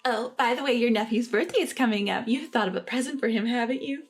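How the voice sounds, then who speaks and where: A woman's voice: fairly high pitch, quick pace, husky, soft background music. A woman voicing an entitled, snide sister-in-law who talks down to others. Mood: needling. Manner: condescending, needling.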